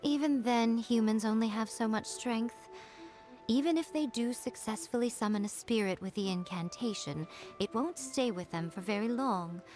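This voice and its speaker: A woman's voice: a little high, normal speed, music in the background, close to an everyday voice. A woman voicing a haughty, teasing female fox-spirit shrine maiden in a video game, poised and knowing. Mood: breezy, dismissive.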